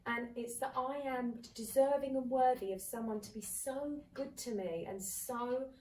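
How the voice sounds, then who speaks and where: Animated woman co-host in an echoey room. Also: on the high side, measured pace, distant mic, a little hiss or hum. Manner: animated, acts out quoted speech. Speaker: podcast co-host. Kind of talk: casual chat.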